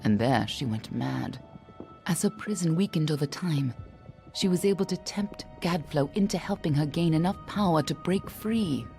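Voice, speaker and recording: A stern guarded warrior lady in a fantasy game, low mellow voice, measured and serious. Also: animated, creaky, faint music behind. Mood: grave, guarded. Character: stern, guarded warrior woman, keeper of a deadly secret. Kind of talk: dramatic fantasy game dialogue.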